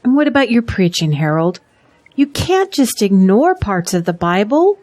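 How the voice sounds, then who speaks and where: An actress playing an anxious, fretful pastor's wife in an audio drama, worried and emotional. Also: female voice, medium pitch, very animated, normal speed, a little mellow, natural voice. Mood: troubled.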